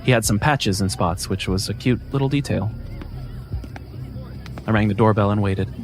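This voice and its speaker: A man narrating a first-person scripted story in a measured, wry way. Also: on the low side, music in the background.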